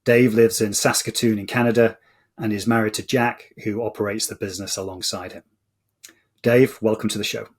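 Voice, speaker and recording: A man hosting an interview show, introducing a guest and asking questions politely in a measured way. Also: lowish voice, steady tone. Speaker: podcast host.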